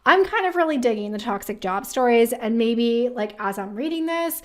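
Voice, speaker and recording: Woman, slightly high voice, casual video host, informal slangy phrasing, opinionated chatty commentary. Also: lively intonation, moderate pace. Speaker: career advice video host.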